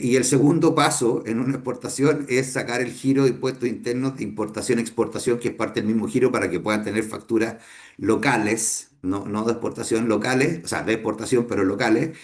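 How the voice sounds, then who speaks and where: A middle-aged man with a Chilean accent lectures students. His voice is gravelly and pitched mid-range for a man. He talks it through unhurriedly and often stops to rephrase. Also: darkish tone. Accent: Chilean Spanish. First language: Spanish. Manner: unhurried, thinking aloud with many fillers and restarts. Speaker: business owner teaching a class.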